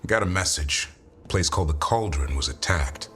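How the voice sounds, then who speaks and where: A gruff, protective male warden from a video game. His voice sits very low but moves about with lively swings, blunt and fondly scolding. There is faint music behind him. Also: moderate pace, exaggerated voice. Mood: blunt, serious.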